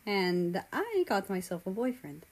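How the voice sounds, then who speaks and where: A woman voicing a gentle teenage big brother in an audiobook, quiet and reassuring. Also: mid-range voice, very animated, unhurried.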